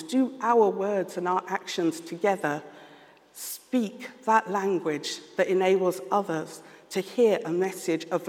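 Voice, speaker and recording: Man, London accent, high voice, preaching, measured and earnest, long hall reverb. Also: darkish tone. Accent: London English. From London, England. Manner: earnest, measured, reflective with frequent pauses. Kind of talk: sermon.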